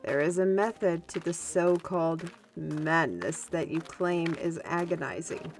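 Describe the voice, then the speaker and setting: A woman playing a spirited, good-humored artist and adventurer in a fantasy audio drama, with a playful, expressive delivery. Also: on the low side, measured pace. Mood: earnest, encouraging. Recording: music under the voice.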